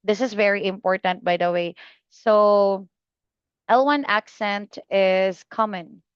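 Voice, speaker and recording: Woman tutor, patient feedback, filler words, slow pace, slightly dull lo-fi sound. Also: medium pitch, fairly even intonation, smooth, dry recording. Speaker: IELTS speaking tutor. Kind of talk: giving spoken feedback to students.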